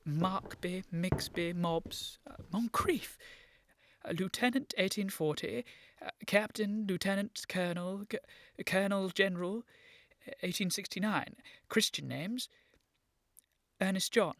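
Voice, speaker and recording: An actor playing an earnest, excitable young Victorian gentleman in a comic radio play, with a formal upper-class English accent. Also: high-pitched, noisy background. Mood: flustered searching.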